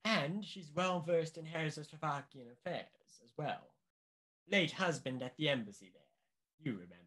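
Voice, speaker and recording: An actor playing a pompous, anxious upper-class government official in a mystery radio play, speaking deliberately and emphatically. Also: male voice, fairly high pitch, expressive, pushed and bright. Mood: persuasive, smug.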